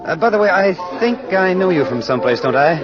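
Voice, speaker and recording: An actor playing a cool, observant amateur detective with a wry, measured manner, in old radio style. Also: male voice, high voice, animated. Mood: probing, calm. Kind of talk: 1940s radio acting. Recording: muffled old radio recording with music.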